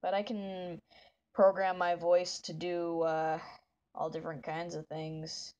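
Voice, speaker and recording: A woman streaming live, chatting with viewers in a soft, meandering way while she draws, with a voice that is deep for a woman. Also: level tone, slow pace, lo-fi sound. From northern United States. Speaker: livestreamer. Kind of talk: casual chat while drawing on a livestream.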